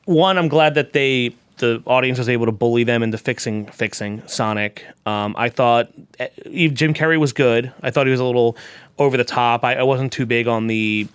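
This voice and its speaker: A man with a medium-pitched voice chats casually as a panelist. He has a wry, conversational manner, and the sound is dry with no room echo. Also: moderate pace, smooth. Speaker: talk show panelist. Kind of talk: casual chat.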